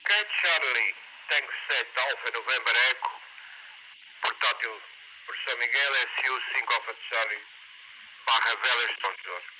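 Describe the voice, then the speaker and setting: A man chatting courteously and a little haltingly as a ham radio operator, heard through a two-way radio. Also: medium pitch, sharp tone, slightly rough. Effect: two-way radio transmission. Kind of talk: casual radio contact chat. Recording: noisy handheld radio speaker outdoors.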